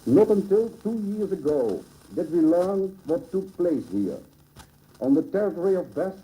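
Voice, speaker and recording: Muffled, high-pitched Dutchman giving a formal address. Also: dark tone. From Netherlands. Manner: solemn, measured, oratorical phrasing. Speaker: local dignitary giving a dedication address. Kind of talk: formal ceremonial speech read from a script. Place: public ceremony at a monument dedication. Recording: old muffled 1950s radio broadcast recording.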